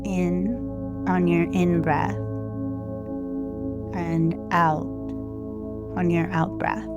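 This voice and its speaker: A woman leading a guided meditation in a gentle, soothing, unhurried way, leaving long pauses between short phrases. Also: lowish voice, flat delivery, highs cut off. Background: loud meditation music with singing bowls.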